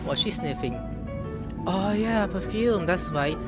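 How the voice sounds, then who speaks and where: A man's voice: high voice, lively intonation, slow. A young man giving casual, exclamatory let's play commentary in an informal, reactive way. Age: young adult. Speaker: gaming host. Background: game music.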